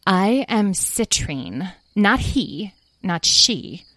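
A woman's voice: mid-range voice, very animated, extremely slow, fairly bright tone, no room sound, exaggerated voice. Lady doing a dainty greedy lil storybook fairy, very full of itself, dry sound. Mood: proud, indignant. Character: dainty yellow fairy, ageless, greedy, grandly self-important.

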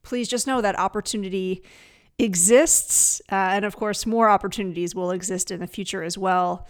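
A woman's voice: medium pitch, steady tone, moderate pace. A woman hosting a podcast in a conversational style, speaking in an earnest, reflective way. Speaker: podcast host.

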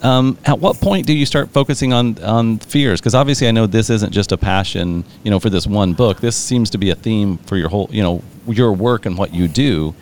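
A man hosting an interview, enthusiastic and quick to laugh. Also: fairly low pitch, darkish tone, a little hiss or hum. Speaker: podcast host. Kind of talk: conversational interview.

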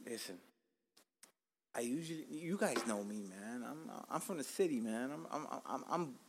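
A man's voice: mid-range voice, expressive, measured pace, background noise. A man in his thirties, born in Cuba, hosting a casual podcast in a joking, profane, laid-back street-talk manner. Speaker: podcast host.

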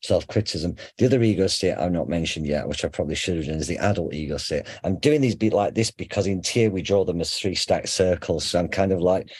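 Deep husky man with a faint Sheffield accent. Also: quick pace, few pauses, darkish tone, no echo. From England. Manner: conversational, explains with examples, frequent fillers and restarts. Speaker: psychotherapist guest. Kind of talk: casual explanatory interview.

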